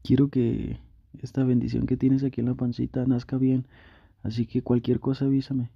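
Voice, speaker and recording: A man's voice: medium pitch, level tone, normal speed, vocal fry, breathy edge, dry recording, lo-fi sound. A man playing a doting, anxious young boyfriend in an intimate ASMR roleplay, tender and reassuring, repeating his words insistently. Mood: concerned, gentle.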